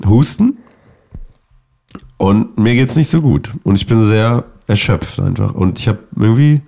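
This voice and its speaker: A man in his thirties, sick and hoarse, chatting casually and joking as co-host of a podcast. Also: lowish voice, lively intonation, a little slow, mellow. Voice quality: raspy, gravelly. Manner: joking, rambling. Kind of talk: casual chat.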